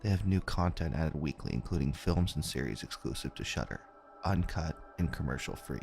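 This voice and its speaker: Very deep, gravelly male announcer. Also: animated, a little fast, darkish tone. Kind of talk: scripted sponsor read.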